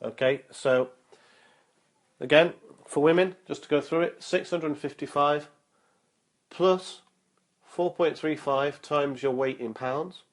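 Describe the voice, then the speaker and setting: A young man vlogging about fitness, his voice a little nasal from a cold and his throat sore, upbeat and motivational, with many ums and uhs, slowing down to spell out figures. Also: on the high side. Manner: slow and careful when reading out numbers. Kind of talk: casual vlog talk and explainer.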